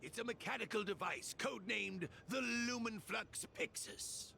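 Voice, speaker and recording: An actor, a woman, playing an eccentric, excitable genius inventor with theatrical flair in an anime-style video game, declaiming grandly. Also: mid-range voice, normal speed, gravelly, slight background noise. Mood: proud, showy.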